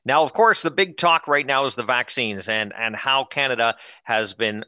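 A man in his thirties hosting a political talk show over a headset mic, emphatic and animated in delivery. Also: on the low side, dry recording, highs cut off. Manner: energetic, emphatic, gestures while talking. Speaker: show host. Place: studio with branded backdrop, indoors.

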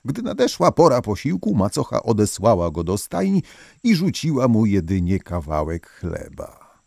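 A man narrating a scripted folktale as an expressive, theatrical storyteller. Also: on the low side, vocal fry. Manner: theatrical, animated.

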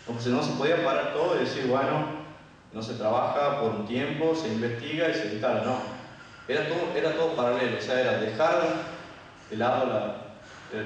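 A man with an Argentine Spanish accent gives an informal technical talk as a conference speaker, explaining colloquially and posing rhetorical questions. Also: medium pitch. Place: dim lecture room. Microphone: handheld microphone, picked up by distant camera. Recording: echoey hall from far off, faint noise.

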